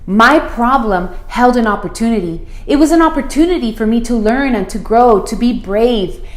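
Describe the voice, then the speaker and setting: A woman reading a picture book aloud to children as a school principal, expressive and deliberate, putting questions to her listeners. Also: on the high side. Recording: roomy, echoey room sound.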